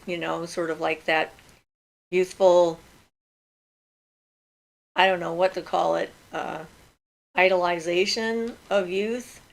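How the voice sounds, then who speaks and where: Older woman hosting from home; lowish, gravelly voice; casual, unhurried livestream chat. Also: rough recording. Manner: relaxed and chatty, thinking aloud with fillers. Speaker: host of a magic Q&A livestream. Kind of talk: casual livestream chat, reading viewer questions.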